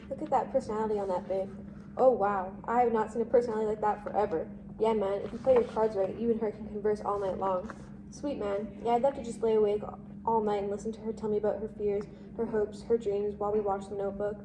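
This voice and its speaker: A woman's voice: mid-range voice, noisy background, lightly acted. A woman playing a crude, cocky, mocking teenage jock with smug dude-bro slang in amateur drama.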